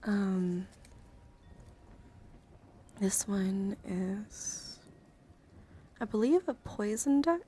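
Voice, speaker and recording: A woman livestreamer talking in a casual, rambling ASMR style, with a soft voice that often slips toward a breathy whisper, close to the microphone. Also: mid-range voice, expressive, drawn-out words, long pauses, slight background noise. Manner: rambling, informal. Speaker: livestreamer showing card decks.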